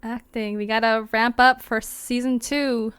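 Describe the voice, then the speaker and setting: A woman's voice: a little high, level tone, unhurried, fairly bright tone, clear, resonant tone, no echo. A woman hosting a fiction podcast, chatting casually in a playful, informal way. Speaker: host and creator of a fiction podcast. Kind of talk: casual chat.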